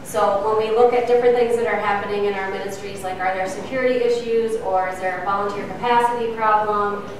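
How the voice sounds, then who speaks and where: A woman's voice: medium pitch, fairly even intonation, fast, a crowd in the background. Earnest woman in an echoey church hall. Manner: earnest, explanatory, with frequent fillers. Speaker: outreach ministry leader. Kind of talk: answering questions at a church forum. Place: church meeting room. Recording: echoey room, distant mic, faint noise.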